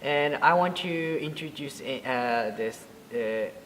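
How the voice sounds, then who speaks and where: A man in his twenties from Japan, speaking English with a Japanese accent as a Buddhist priest being interviewed, halting and full of fillers and restarts. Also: mid-range voice, fairly bright tone, big-room sound, a little hiss or hum, rough recording. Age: 20s. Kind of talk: interview answers.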